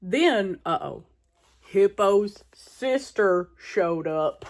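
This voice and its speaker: An earnest male elephant from a children's storybook, played by a woman, recounts his troubles with dramatic emphasis. The delivery is drawn out and deliberate, and the pitch rises and falls widely. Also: lively intonation. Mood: grave, dramatic.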